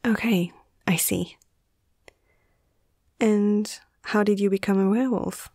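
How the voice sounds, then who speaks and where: Woman acting as a breathy, hushed, caring young vet. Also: medium pitch, fairly even intonation, no echo, studio quality. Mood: curious, gentle. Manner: gentle, slow, caring, hesitant. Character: caring, curious young woman veterinarian tending a patient. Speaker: ASMR roleplay performer. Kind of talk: soft ASMR roleplay, partly whispered.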